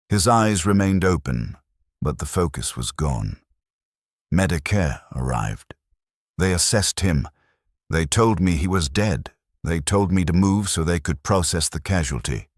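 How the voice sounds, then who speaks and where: A man with an extremely low, husky voice delivers dramatic scripted military fiction. His phrasing is clipped and grave, yet the pitch moves expressively. The recording is close and dry. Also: no room sound. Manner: clipped, measured, grave delivery in short declarative sentences. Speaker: narrator of a first-person war story.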